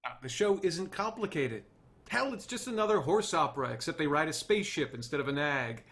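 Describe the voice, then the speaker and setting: A man's voice: a little high, animated, fairly quick, fairly bright tone. A man playing an idealistic, charming, swaggering TV writer in a screenplay table read. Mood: confident pitching.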